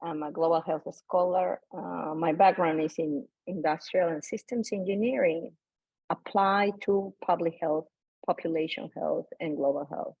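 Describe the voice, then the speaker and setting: A woman from Peru with a Peruvian accent, presenting as an academic researcher in an unscripted, halting way over a remote video call. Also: lowish voice, steady tone, vocal fry, breathy edge, no echo. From Lima, Peru. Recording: dull lo-fi video call audio.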